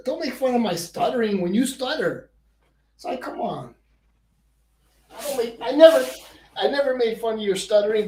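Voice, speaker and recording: A man's voice: sits high, darkish tone, slightly rough. A man hosting a casual livestream, rambling and repeating words, and he says he stutters. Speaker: livestream host.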